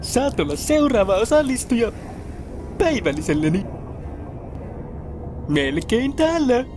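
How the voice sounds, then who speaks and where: A very high, shrill cartoon villain. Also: male voice, big pitch swings. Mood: taunting. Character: shrill, menacing cartoon male villain, vengeful and theatrical. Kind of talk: comic cartoon parody fan dub. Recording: music clearly behind the voice.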